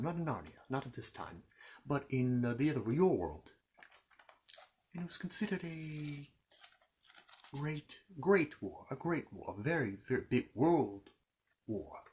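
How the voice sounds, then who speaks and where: A man playing a fussy, courteous storybook keeper of histories in an ASMR roleplay, hesitant and rambling as he tells his tale. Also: medium pitch. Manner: hesitant, rambling, apologetic, repeats himself. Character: fussy, courteous Narnian bookkeeper recounting his world's history.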